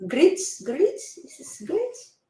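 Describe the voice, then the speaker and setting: A woman's voice: very high voice, big pitch swings, drawn-out words, warm tone, reverberant. A woman co-hosting a casual live-stream chat, giving short replies and greeting viewers by name. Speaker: co-host. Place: home in the Philippines.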